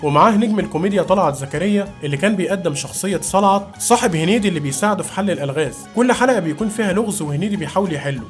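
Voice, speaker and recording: Rapid-fire Egyptian man, high voice. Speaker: video narrator. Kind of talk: informal scripted review narration.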